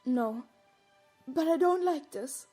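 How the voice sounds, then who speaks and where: A woman's voice: high voice, lively intonation, slow pace, dark tone, faint music behind. A woman playing an anxious, bossy teenage witch girl in an audio drama. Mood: doubtful.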